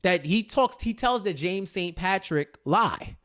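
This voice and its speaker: Emphatic man with a higher-pitched voice. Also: unhurried. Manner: animated retelling, repeats phrases for emphasis. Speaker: co-host of a TV recap show. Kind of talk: casual recap chat.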